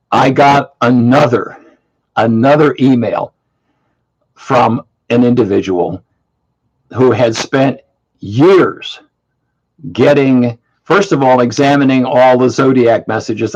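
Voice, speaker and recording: A man's voice: medium pitch, slightly rough. A man in his seventies hosting an online question session, talking bluntly and dismissively and often repeating himself. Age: 70s. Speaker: host. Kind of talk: casual monologue to an online audience. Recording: muffled lo-fi audio.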